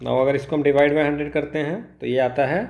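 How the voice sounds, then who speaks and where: A man's voice: a little high, fairly even intonation, normal speed, vocal fry, darkish tone. An Indian man tutoring physics, working through exam problems step by step, mixing Hindi and English and asking himself questions he then answers. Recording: echoey room.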